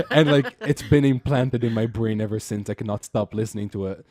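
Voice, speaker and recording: A young man in his twenties with an Irish accent talks casually as a podcast guest, rambling, restarting his sentences and laughing often. His voice sits at an ordinary pitch. The recording is close and dry, with no room sound. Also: moderate pace, a little mellow, no echo. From Brazil. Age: 20s. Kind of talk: casual chat.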